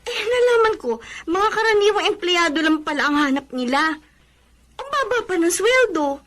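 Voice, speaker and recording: A woman's voice: extremely high pitch, expressive, normal speed, fairly bright tone. A woman acting in a radio drama as a sulky, proud young graduate daughter with a whiny, complaining manner. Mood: reluctant, proud.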